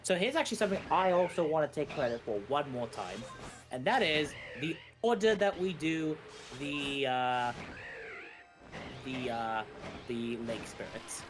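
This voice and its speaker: A man's voice: a little high, animated, measured pace, music in the background. A man giving casual, jokey live commentary on a speedrun, often repeating and rephrasing himself.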